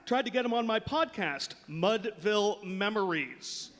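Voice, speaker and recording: An actor playing a chatty old-school sports memorabilia dealer, salesy and digressive, in a live radio drama. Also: male voice, high voice, animated, measured pace, fairly bright tone, slight background noise. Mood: pushy, breezy. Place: large hall, on stage. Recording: live stage show in echoey hall.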